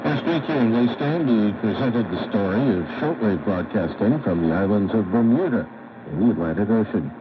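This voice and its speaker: A man's voice: on the low side, animated, moderate pace, noisy background. A man hosting a radio program, delivering a scripted announcer-style introduction. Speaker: program host. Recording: tinny shortwave radio reception.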